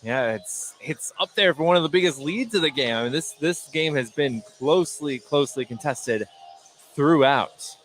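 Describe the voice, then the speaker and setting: Higher-voiced man calling basketball over gym noise. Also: lively intonation, moderate pace, fairly bright tone. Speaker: play-by-play basketball announcer. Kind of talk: live sports radio commentary. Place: indoor high school gym during a game. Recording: noisy gymnasium with bouncing basketballs. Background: game noise, bouncing basketballs.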